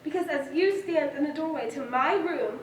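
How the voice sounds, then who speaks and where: A woman's voice: high-pitched, moderate pace, natural voice. A young woman on stage playing a self-assured, fussy girl who boasts in an emphatic, childlike, matter-of-fact way. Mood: gushing, boastful. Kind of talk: stage monologue in a one-act play. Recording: roomy, distant stage recording with noise.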